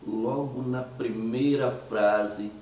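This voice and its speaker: Hoarse mineiro man in an echoey room. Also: mid-range voice, fairly even intonation, extremely slow, a little mellow, distant mic, a little hiss or hum. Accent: Mineiro Brazilian Portuguese. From Minas Gerais, Brazil. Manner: conversational, hesitant, with restarts and rhetorical questions. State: hoarse from a cold. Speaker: host of a commentary show. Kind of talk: casual monologue commentary.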